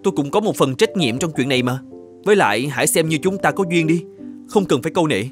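Young cartoon hero, male actor: high, brisk, theatrical, blunt yet kind, over music. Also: lively intonation, close to an everyday voice. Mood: earnest, kind. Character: poor, blunt but kind young man in fairy tale. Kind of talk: cartoon fairy-tale dubbing. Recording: music behind the voice.

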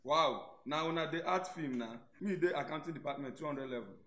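A man's voice: on the high side, brisk, pushed and bright. A young man with a Nigerian accent playing a welcoming, joking student roommate in a radio drama. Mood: friendly, joking. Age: young adult. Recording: echoey room, faint noise.

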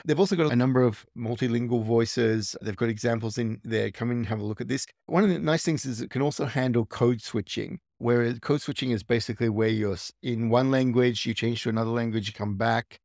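Gravelly-voiced man explaining casually. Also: medium pitch, brisk, hardly any pauses, no echo, clean studio sound. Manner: relaxed, thinking aloud with restarts. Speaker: tech tutorial host. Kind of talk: casual screen-recorded walkthrough.

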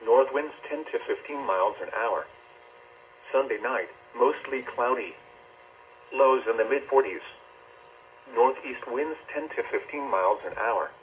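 A man's voice: mid-range voice, unhurried. A synthesized man's voice reading a scripted weather bulletin in a stilted, formal way, played from a weather radio indoors. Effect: synthetic voice over weather radio. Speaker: automated weather radio announcer. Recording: dull radio speaker, clear noise.

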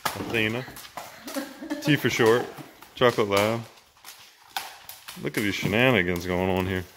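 A man's voice: on the low side, a little slow, noisy background. A young man talking casually on a family vlog, joking and easygoing. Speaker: vlogger. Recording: loud background music.

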